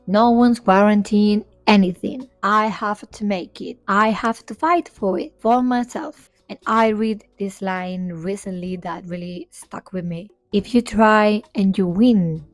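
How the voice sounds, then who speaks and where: A young woman from Spain, whose first language is Spanish, talking in English in a soft-spoken, candid and reflective way to her camera. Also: medium pitch, unhurried, hardly any pauses, no room sound. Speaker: vlogger and independent singer. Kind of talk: improvised personal talk to camera.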